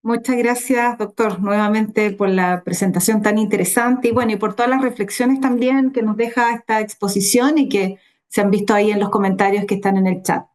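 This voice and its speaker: A woman's voice: mid-range voice, steady tone, moderate pace, a little mellow. A woman with a Chilean accent, moderating an online academic event in a polite, formal way and reading questions aloud.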